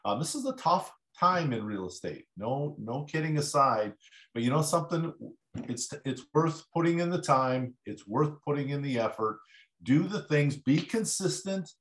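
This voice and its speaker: A man's voice: a little high, lively intonation, normal speed, a bit bright. Encouraging man host in an echoey room. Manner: encouraging, lots of affirming "yep"s. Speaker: livestream host, real estate broker support. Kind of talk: casual coaching chat.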